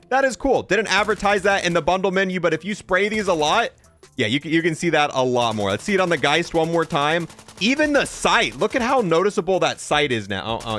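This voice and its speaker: Guy doing casual game review commentary, kinda high voice, really up and down, gives his opinions as he goes. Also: fairly bright tone. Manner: informal, opinionated, exclaims while reacting. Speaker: gaming content creator, host.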